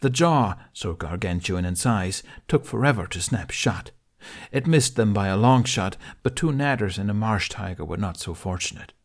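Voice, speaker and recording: Guy reading a fantasy audiobook, lowish voice, dramatic scripted narration. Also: lively intonation, normal speed.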